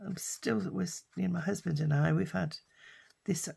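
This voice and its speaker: An older British woman with a husky, hoarse voice from a lingering cough, chatting casually while she crafts. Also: fairly low pitch, moderate pace, warm tone. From United Kingdom. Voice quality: husky, raspy. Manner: rambling and chatty, with many fillers. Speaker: craft vlogger.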